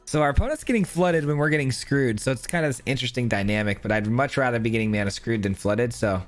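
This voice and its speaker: A hoarse man, his voice wearing thin, talks his way through a card game in a chatty, upbeat stream of thought. His pitch sits in a plain middle range, with faint music playing underneath. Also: quick pace. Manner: chatty, upbeat, thinking aloud. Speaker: gaming channel host. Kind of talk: casual live gameplay commentary.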